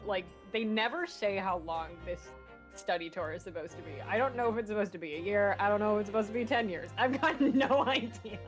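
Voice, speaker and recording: Brief: woman co-host, mid-pitch, rambling, laughing, faint music. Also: fairly quick. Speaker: co-host streamer. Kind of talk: casual chat. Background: game music.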